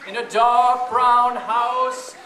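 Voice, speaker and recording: A man reading a picture book aloud to a group of children in a playful, chatty way, pausing to ask them questions. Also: very high-pitched, level tone, bright, forceful tone. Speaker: storybook reader to children. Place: echoey room with an audience of families. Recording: roomy, distant event recording with audience noise.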